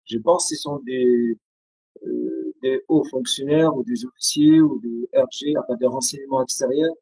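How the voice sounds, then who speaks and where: A man from Syria with a Syrian accent, Arabic his first language, speaking haltingly with many pauses and restarts as an interview guest on a video call. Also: mid-range voice, vocal fry, dark tone, breathy edge, no echo, low-quality recording.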